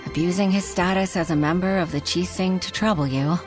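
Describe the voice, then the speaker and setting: Woman as a low-voiced earnest clerk. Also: fairly even intonation, a little fast, music in the background, lightly acted. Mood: frustrated. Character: earnest, weary junior female government clerk. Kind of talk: video game voice acting.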